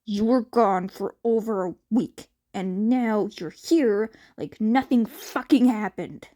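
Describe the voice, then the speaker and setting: A woman's voice: medium pitch, takes it slowly, mellow, close to an everyday voice. Woman as a brash, blunt teenage boy. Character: brash, gruff teenage boy, a hot-headed bully. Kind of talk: fan fiction character acting.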